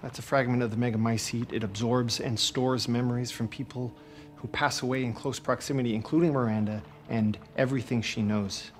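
A man's voice: medium pitch, steady tone, brisk, close to an everyday voice. An actor playing a concerned, awkward mentor in a cinematic video game, earnest and hesitant in his delivery. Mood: earnest, explaining. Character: concerned, awkward adult male mentor with a secret plan.

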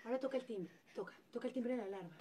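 Isabel, a lively neighbor woman, as an actress plays her. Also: on the high side, very fast, very noisy, natural voice. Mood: soothing. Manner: bossy and soothing by turns, theatrical. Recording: music playing behind.

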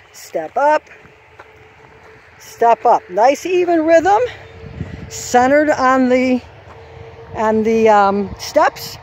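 A woman walking an outdoor horse course with her phone and explaining each obstacle in an unhurried way, now and then correcting herself. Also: on the high side, a little mellow. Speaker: course designer giving a walk-through. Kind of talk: instructional course walk-through. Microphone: handheld phone camera. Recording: faint outdoor noise, sometimes far from the mic.

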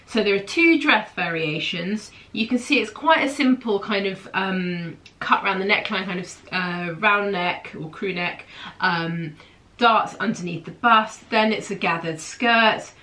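Bunged-up nasal woman, 30s, chatty and excited, bright tense voice, home room camera mic. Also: mid-range voice, measured pace. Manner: enthusiastic, gushing, gestures a lot with her hands. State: nasal and bunged up from hay fever. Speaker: host of a sewing pattern show. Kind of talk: casual chatty review to camera. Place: small home room, indoors.

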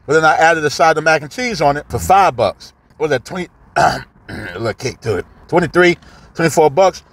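A man's voice: a little high, lively intonation, brisk. A man, giving a casual, slangy and profane food review while eating in a parked car. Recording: clear background noise in a car.

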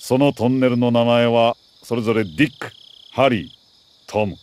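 An actor playing a middle-aged army major who briefs an agent in a crisp, authoritative, deliberate way in a video game. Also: male voice, medium pitch, a little mellow, lightly acted. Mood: sheepish, earnest. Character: male military major, mission commander, precise and earnest. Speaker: mission commander briefing an agent.

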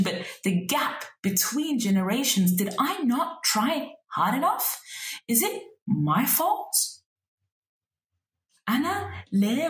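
A woman in her thirties, a native Arabic speaker, reading her own poem aloud in a slow, measured, reflective way at a desk webcam in a small room. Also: on the high side, echoey room, rough recording. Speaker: poet. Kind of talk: spoken word poetry reading.

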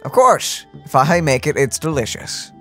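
A woman's voice: deep voice, big pitch swings, moderate pace, a bit bright, soft background music. A woman co-hosting a let's play, making casual comments and reading along with the game. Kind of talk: casual gameplay reaction chat. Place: indoor room, game audio behind.

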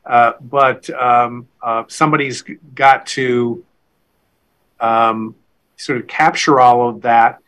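An older man speaks over a video call from a book-lined study at home. His voice is bright and forward, and he thinks aloud with many stops and restarts, feeling his way through an idea. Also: mid-range voice, fairly even intonation, slow, rough recording. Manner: hesitant, many fillers and restarts while brainstorming. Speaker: interviewer. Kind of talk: academic conversation.

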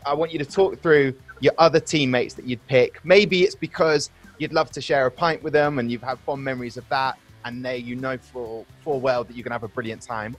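A man in his thirties with a mid-pitched voice hosts in an upbeat, chatty way. He is heard over a video call with faint music in the background. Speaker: host. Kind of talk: casual video-call interview.

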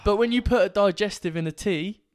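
A young man with a slightly raspy voice, co-hosting a casual podcast, teasing and laughing often. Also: sits high, a little fast. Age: young adult. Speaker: podcast co-host. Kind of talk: casual banter. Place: small home room. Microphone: studio mic on boom arm.

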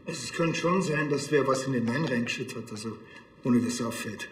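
An actor playing a grumpy, dry-witted small-town police officer with a Bavarian accent. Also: male voice, mid-range voice, a little fast, reverberant, big-room sound, slight background noise, lightly acted. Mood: matter-of-fact.

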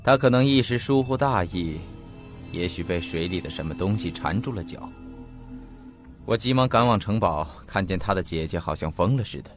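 Earnest investigator, low voice, formal measured storytelling, audio drama, soft music behind. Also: male voice, lively intonation. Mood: grave, explanatory. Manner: formal, deliberate, detailed. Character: earnest male investigator recounting a mysterious disappearance. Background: faint background music.

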